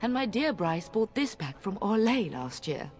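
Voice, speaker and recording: A woman's voice: mid-range voice, big pitch swings, moderate pace, darkish tone, natural voice. An actress playing a poised, wryly commanding noblewoman and mother in a fantasy game. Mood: wry, gracious. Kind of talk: scripted game voice acting. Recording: music under the voice.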